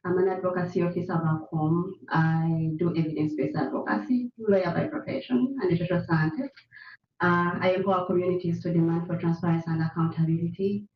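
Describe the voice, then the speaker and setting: A woman from Uganda, an advocacy officer, introducing herself on a panel in a clear, direct way. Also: on the low side, fairly even intonation, fairly quick, soft background music. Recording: distant echoey room, dull.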